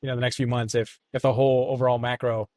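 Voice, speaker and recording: A man's voice: medium pitch, level tone, quick pace, a little mellow, no room sound. A man co-hosting a podcast, giving casual conversational market commentary with hedging, self-deprecating asides. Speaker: podcast co-host discussing stock investing.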